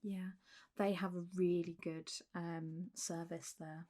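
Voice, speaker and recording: A woman playing a calm zombie doctor in a soft-spoken ASMR roleplay, gentle, reassuring and a little hesitant, sometimes slipping towards a whisper. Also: on the low side, slow pace. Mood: reassuring, encouraging.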